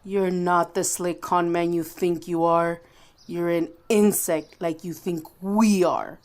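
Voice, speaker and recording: A woman playing a vengeful undead murder victim in a horror radio drama, speaking with deliberate, taunting menace. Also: lowish voice, fairly even intonation, a little mellow.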